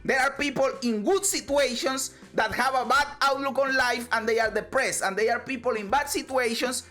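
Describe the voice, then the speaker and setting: A woman's voice: high-pitched, fairly even intonation, normal speed, sharp tone, soft background music. A woman from Venezuela, giving a casual motivational monologue, emphatic, swearing freely and repeating phrases. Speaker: vlogger.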